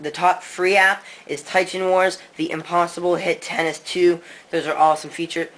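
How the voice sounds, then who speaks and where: A man's voice: a little high, a bit bright. Guy walking through gadget features, casual review style. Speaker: co-host, tech reviewer.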